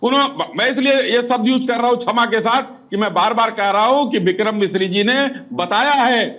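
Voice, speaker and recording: A man's voice: extremely high pitch, normal speed, fairly bright tone, dull audio. A man arguing insistently as a party spokesman on a political TV debate. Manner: insistent, combative.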